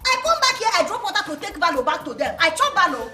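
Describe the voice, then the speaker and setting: A woman's voice: very high voice, big pitch swings, fast talker, sharp tone, roomy sound, faint music behind, exaggerated voice. A woman with a Nigerian accent playing a hot-tempered, boastful village woman who scolds and threatens in pidgin English. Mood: boastful threats. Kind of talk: comedy skit acting.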